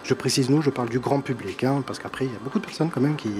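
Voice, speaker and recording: A man's voice: mid-range voice, rapid-fire. Chatty man with a slight accent. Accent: non-native accent in French. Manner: chatty, enthusiastic, laughs at himself. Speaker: music columnist. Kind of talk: casual live-show chronicle.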